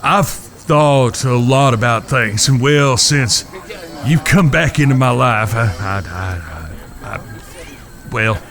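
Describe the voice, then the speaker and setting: A man playing an earnest, courteous cowboy suitor in a western audio drama, with halting, old-fashioned, courtly phrasing. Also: mid-range voice, lively intonation, crowd noise. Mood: nervous, earnest.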